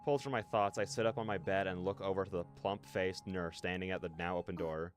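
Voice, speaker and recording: A man's voice: low voice, fairly even intonation, fast. A man streaming a story game, reading narration aloud with feeling and reacting casually, with music behind him.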